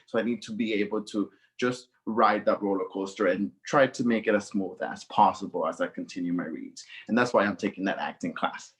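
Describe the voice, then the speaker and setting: A young man, answering interview questions in an upbeat, excited way and talking fast. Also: lowish voice. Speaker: student news senior.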